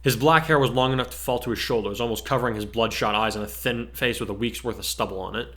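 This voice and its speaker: Man narrating a story, mid-pitched. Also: fast talker, sharp tone. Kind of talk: dramatic story reading, scripted narration.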